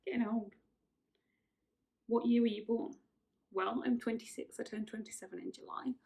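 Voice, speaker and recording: Husky young woman chatting. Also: on the high side, fairly even intonation, normal speed, darkish tone. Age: 20s. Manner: chatty, rambling, self-correcting. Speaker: vlogger. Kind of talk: casual get-to-know-me chat.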